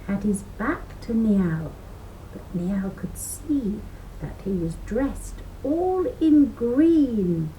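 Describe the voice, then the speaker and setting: A woman in her sixties or older reading a children's story aloud expressively, with deliberate phrasing and dramatic emphasis, at home on a computer microphone. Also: sits high, warm tone, a little hiss or hum, rough recording. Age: 60s or older. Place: living room at home beside window. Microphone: webcam or laptop microphone.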